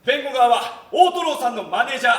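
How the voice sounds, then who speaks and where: High-pitched, urgent young defence lawyer. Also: male voice, expressive, fairly quick, a bit bright. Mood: assertive. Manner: emphatic, urgent, declamatory. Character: earnest, desperate young male defence lawyer. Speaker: stage actor. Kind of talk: theatrical courtroom drama acting. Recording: echoey stage, distant microphone, faint noise.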